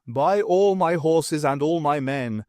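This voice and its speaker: A man voicing a grave, pompous storybook king who speaks solemnly with dramatic pauses. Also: on the high side, expressive, a little mellow, dry recording, studio quality. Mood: awed.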